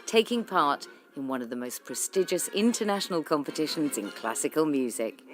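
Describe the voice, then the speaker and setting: A woman presenting a radio arts programme, reading scripted narration in a measured, expressive way. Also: deep voice, faint music behind.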